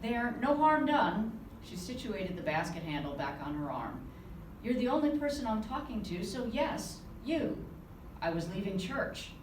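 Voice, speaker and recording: A woman author reading aloud from her novel to a live audience, giving the dialogue a lively touch. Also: medium pitch, unhurried, few pauses. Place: conference room. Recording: roomy, distant with background noise.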